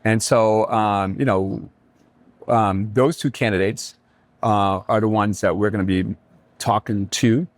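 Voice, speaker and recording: Low-voiced, halting man from Jamaica. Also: steady tone, no room sound. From Kingston, Jamaica. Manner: halting, pausing often mid-thought. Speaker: restaurateur and community leader, podcast guest. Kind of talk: reflective interview conversation.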